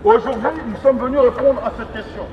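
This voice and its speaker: Male street evangelist, high voice, declamatory and repetitive, megaphone heard from far off amid crowd bustle. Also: narrow, tinny audio, background noise. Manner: declamatory, repetitive, halting restarts. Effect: megaphone amplification. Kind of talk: open-air evangelistic preaching. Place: outdoors in a busy urban public space. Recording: megaphone voice outdoors, distant, echoing, street bustle. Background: street crowd and vehicles.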